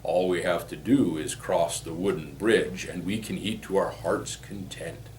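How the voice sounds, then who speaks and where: A man's voice: low voice, a little slow, darkish tone, a little hiss or hum. A man voicing a fearless great big billy goat, the confident eldest brother in a storybook. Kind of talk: storybook character voice.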